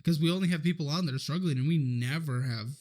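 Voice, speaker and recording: A man with a slightly high voice, chatting loosely on a podcast. He speaks as a guest, leaning on fillers as he explains his views on money. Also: quick pace, a little mellow. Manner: loose, filler-heavy talk. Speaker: podcast guest, financial show host. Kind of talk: podcast conversation.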